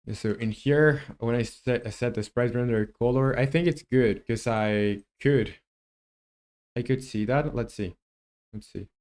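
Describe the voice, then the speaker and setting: Man, mid pitch, live coding, musing aloud, greeting viewers. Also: unhurried, a little mellow. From Chile. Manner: muses aloud while coding, chats with viewers. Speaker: game developer streamer.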